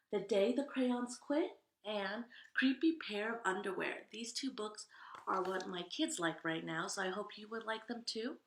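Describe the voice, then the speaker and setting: A woman's voice: medium pitch, echoey room. A woman hosting a children's story time, chatting to young listeners between read-alouds. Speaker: story time host and reader.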